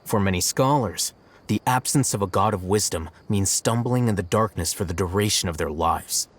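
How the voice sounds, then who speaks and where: An actor voicing a detached adult male scholar in a video game, speaking in a measured, analytical way. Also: low-pitched, a little hiss or hum, natural voice. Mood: lecturing. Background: soft game music.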